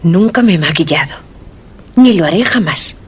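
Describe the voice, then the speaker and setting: Sullen wife with a husky edge. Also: female voice, lowish voice, expressive, moderate pace. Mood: sullen, defensive. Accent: Castilian Spanish. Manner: defensive, curt. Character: sullen, secretive wife in a suspense tale. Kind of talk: Spanish suspense radio drama acting.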